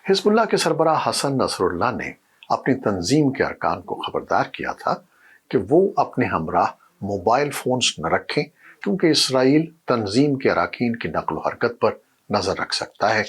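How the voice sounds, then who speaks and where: A man presenting scripted news narration in a formal, measured newsreader manner. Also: mid-range voice, big pitch swings, husky.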